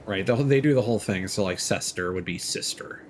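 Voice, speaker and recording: A man's voice: lowish voice, fairly quick, a little hiss or hum. A man streaming a game, thinking aloud in loose, rambling commentary full of "like"s. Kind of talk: casual live-stream commentary.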